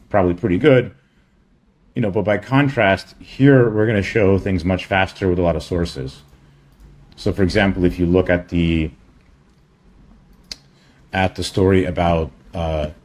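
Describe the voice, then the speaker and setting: A man giving a casual screen-share product update as a company chief, in a halting, rambling way with offhand asides. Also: low-pitched, darkish tone.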